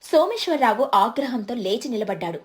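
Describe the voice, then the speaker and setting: High-pitched woman storyteller. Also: very animated, brisk, darkish tone. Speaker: narrator. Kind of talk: scripted moral story narration.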